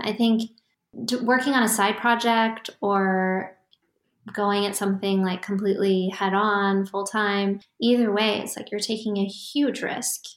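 A woman who founded a startup answers podcast interview questions in a calm, even-keeled, reflective way. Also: mid-range voice, reverberant.

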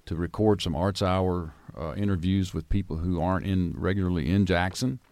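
A man's voice: low voice, fairly even intonation, moderate pace, darkish tone, no room sound. A man hosting an arts radio interview in a folksy, informal, conversational way. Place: university building studio.

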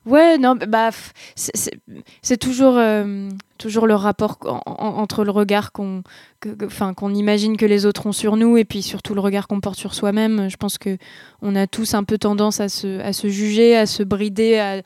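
Young female singer, interview guest, halting and thoughtful, mid pitch, dry close mic. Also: level tone, darkish tone, dry recording. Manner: hesitant, many fillers and restarts. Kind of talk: casual interview answers.

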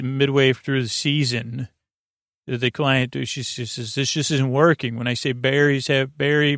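A man with a creaky voice hosting a sleep podcast, meandering and halting through a lulling, soothing bedtime monologue. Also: medium pitch, a bit bright, dry recording, clean studio sound. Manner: meandering, halting, self-interrupting. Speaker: podcast host.

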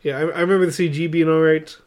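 A man's voice: fairly high pitch, fairly even intonation, fast talker, a little hiss or hum. A man co-hosting a podcast, chatting casually and informally about movies. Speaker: podcast co-host. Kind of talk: casual movie review chat.

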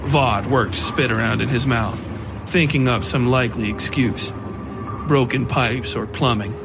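A man's voice: mid-range voice, measured pace, gravelly. A man reading scripted, dramatic narration for a horror fiction podcast. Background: music.

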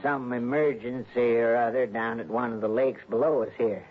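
An American actor playing a folksy, genial, crafty lake lodge keeper in a 1960s radio drama. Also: male voice, medium pitch, steady tone, normal speed, lightly acted. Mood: feigned innocence. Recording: muffled, noisy old radio recording.

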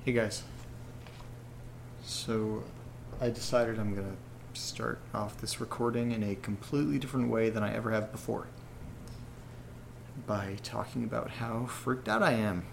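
An actor playing a rattled young man who rambles haltingly through a personal audio diary in a self-deprecating way. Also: on the low side, steady tone, vocal fry, background noise. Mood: uneasy. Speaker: narrator character of a horror audio drama. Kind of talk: confessional audio diary monologue, conversational.

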